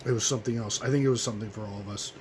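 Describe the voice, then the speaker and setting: A hoarse man in his twenties from the United States hosting his own radio show, speaking in a halting, earnest way full of ums and restarts. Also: fairly low pitch, fairly even intonation, background noise. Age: 20s. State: hoarse, coughing. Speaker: host of a home radio show. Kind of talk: solemn remembrance monologue.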